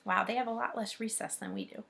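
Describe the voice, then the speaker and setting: A woman reading aloud as a teacher, expressive and instructive, pausing to explain things. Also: mid-range voice, gravelly. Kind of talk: read-aloud lesson with commentary.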